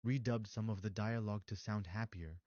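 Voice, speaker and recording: A man's voice: lowish voice, a little fast, noisy background. A man narrating a scripted story in a dramatic storytelling delivery, played from another video. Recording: dull lo-fi clip with loud music. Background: loud music.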